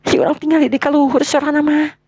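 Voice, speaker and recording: A woman playing a gentle, anxious young maiden in a folktale, speaking in a hesitant, polite, plaintive way. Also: sits high, level tone, quick pace, no echo. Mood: puzzled. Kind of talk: Sundanese folktale character acting.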